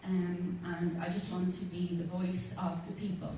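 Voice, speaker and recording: A woman in her thirties with a Dublin accent speaks to a hall in a very echoey, distant recording. Her voice is slightly low and mellow. She makes a plain, halting campaign speech. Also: level tone. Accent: Dublin Irish. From Dublin, Ireland. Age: 30s. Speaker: independent election candidate. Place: large community hall with audience. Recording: echoey hall, distant mic, faint noise.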